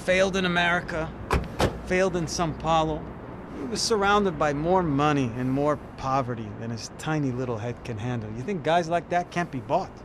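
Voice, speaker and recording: An actor playing a shrewd, sardonic police detective in a video game, with a knowing, mocking delivery. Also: male voice, a little high, moderate pace, close to an everyday voice. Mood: dismissive. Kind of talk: video game cutscene dialogue. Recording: loud car and street noise behind.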